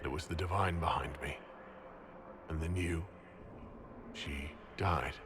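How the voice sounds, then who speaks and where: Earnest deep-voiced game hero. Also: male voice, steady tone, takes it slowly, noisy background. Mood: stunned realisation. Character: male hero piecing together lost memory. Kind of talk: video game dialogue acting.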